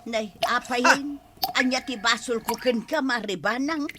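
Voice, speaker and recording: A woman's voice: a little high, measured pace, sharp tone, a little hiss or hum. A woman playing a gossipy village woman in an Ilocano comedy drama, whiny, exclamatory and protesting. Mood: flustered, pleading.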